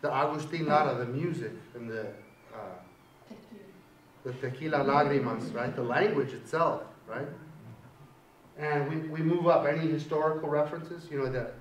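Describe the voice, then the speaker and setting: A man's voice: a little high, moderate pace. A middle-aged man giving a university poetry lecture, chatty, who keeps checking in with "right?" and reads a poem aloud. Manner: conversational, keeps asking "right?". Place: lecture hall. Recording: echoey hall from far off, faint hiss.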